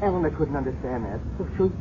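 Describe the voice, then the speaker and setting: Very high male voice, actor as anguished weak young nephew, stammering, pleading, seventies radio drama, muffled recording. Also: lively intonation, fast talker, noisy background, natural voice. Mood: flustered, pleading. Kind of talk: 1970s radio acting.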